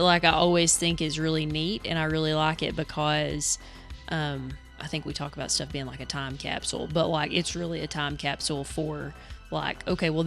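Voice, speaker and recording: A woman's voice: low-pitched, fairly bright tone. A woman co-hosting a podcast, chatting casually and conversationally. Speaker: podcast co-host.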